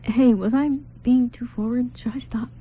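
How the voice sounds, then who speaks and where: A woman voicing an insecure male thief and best man, nervous and halting, in an amateur radio play. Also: a little high, fairly even intonation, narrow, tinny audio, slight background noise, close to an everyday voice. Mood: anxious. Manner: nervous, halting, self-doubting.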